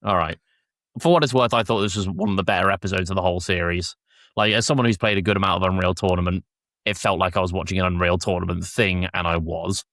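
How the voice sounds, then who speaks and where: A man's voice: deep voice, steady tone, fast, fairly bright tone, no room sound, clean studio sound. A man hosting a podcast, speaking casually as he chats and reads out summaries. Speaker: podcast host. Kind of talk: casual chat and reading episode summaries aloud.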